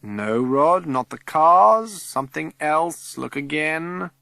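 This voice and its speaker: Male schoolteacher, acted by a man: excitable, emphatic, higher-pitched, lecturing a class; children's audiobook. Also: slow pace, vocal fry, no echo, full character voice. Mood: insistent. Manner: emphatic, declaiming, instructive.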